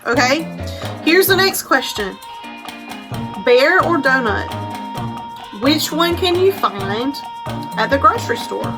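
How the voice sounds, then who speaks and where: A woman's voice: high-pitched, lively intonation, slow, long pauses, music in the background. An American woman hosting a storytime as a children's librarian, putting simple questions and explanations to young children.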